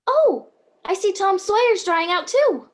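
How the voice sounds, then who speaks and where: A very high-pitched, bumbling guardian angel played by a woman in a radio play. The delivery is earnest and chatty, with a kindly eagerness to help. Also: sing-song, measured pace, exaggerated voice. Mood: chatty, flustered. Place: at home on a video call.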